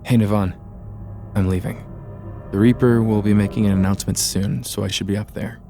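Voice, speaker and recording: A man acts as a calm god of death in an audio drama. His voice is dark and fairly low, businesslike in delivery, with soft music underneath. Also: fairly quick, natural voice. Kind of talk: amateur audio drama acting.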